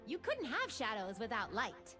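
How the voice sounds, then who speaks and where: A woman's voice: fairly high pitch, wildly swooping pitch, moderate pace, sharp tone, soft background music, exaggerated voice. An actress voicing a cheerful, sarcastic young woman mage as playful, witty lines in a video game. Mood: gently teasing.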